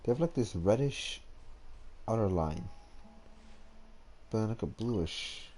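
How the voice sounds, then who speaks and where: Low, mellow young man doing gameplay commentary. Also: expressive, takes it slowly, soft background music. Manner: hesitant, thinking aloud. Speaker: gamer.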